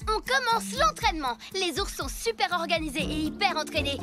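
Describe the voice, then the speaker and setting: A woman voicing a bossy, zealous young girl gang leader in an animated cartoon, with a commanding bark. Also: very high voice, fairly quick. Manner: commanding, drill-sergeant barking. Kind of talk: animated cartoon dub acting. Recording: loud music behind the dialogue.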